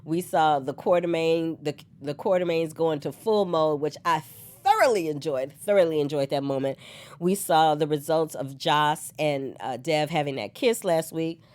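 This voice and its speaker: A woman hosting an online soap opera recap on her own, slightly hoarse from a cold, animated and chatty and laughing often. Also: deep voice, normal speed. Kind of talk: solo casual recap chat.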